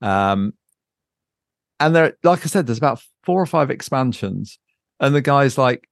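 A man's voice: medium pitch, lively intonation, measured pace, vocal fry, no echo, clean studio sound. A man chatting casually and unscripted as a podcast host, thinking aloud and searching for his words. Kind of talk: casual unscripted chat about board games.

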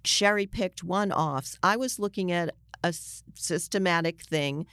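A woman's voice: on the low side, a little slow, fairly bright tone, clean tone, no room sound, studio quality. A woman journalist speaking as a guest in a conversational interview, earnest and emphatic as she makes her points.

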